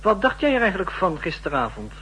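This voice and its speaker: An actor playing a suspicious, prying, sly intelligence office colleague in a 1960s radio drama. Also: male voice, high-pitched, wildly swooping pitch, quick pace, sharp tone, full character voice. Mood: probing. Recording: humming, noisy 1960s radio recording.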